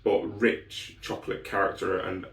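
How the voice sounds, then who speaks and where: A man's voice: deep voice, flat delivery, normal speed, vocal fry, fairly bright tone, reverberant, distant mic. A man reviewing beers in a casual, rambling way, his voice still recovering after an illness. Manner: rambling, lots of ums and restarts. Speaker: beer reviewer. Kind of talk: casual solo beer review.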